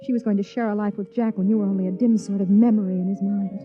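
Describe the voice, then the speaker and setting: Woman acting desperate young wife, 1950s radio drama, hesitant, wistful, medium pitch, narrow tinny vintage sound. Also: fairly even intonation, natural voice. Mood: bitter narration. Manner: hesitant, halting. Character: anxious pregnant wife in her twenties, desperate and wistful. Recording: tinny 1950s radio recording, music behind.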